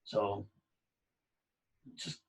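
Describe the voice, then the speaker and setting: A middle-aged man hosting a podcast, talking in a casual, halting way with many restarts. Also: noisy background. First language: English. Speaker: podcast host. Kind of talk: casual conversation.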